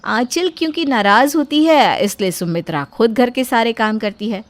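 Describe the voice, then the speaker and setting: High-voiced woman narrating a story. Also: normal speed.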